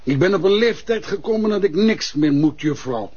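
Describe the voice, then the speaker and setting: An actor playing a stubborn, haughty older patient, in a drama. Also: male voice, high-pitched, animated, moderate pace, a little mellow, a little hiss or hum. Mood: stubborn, curt. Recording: dull lo-fi broadcast sound.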